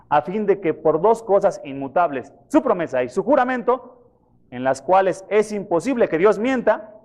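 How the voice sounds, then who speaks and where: A pastor preaching a sermon, questioning his congregation and retelling scripture in a lively way. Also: sits high. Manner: questions the congregation, repeats references for them. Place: church.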